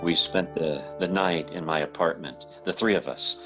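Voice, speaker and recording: A man's voice: deep voice, fairly even intonation, moderate pace, loud music behind the voice, close to an everyday voice. An actor playing an anxious, haunted man who narrates and takes part in an old-time radio horror drama. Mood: somber. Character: haunted, anxious male narrator recounting an eerie tale.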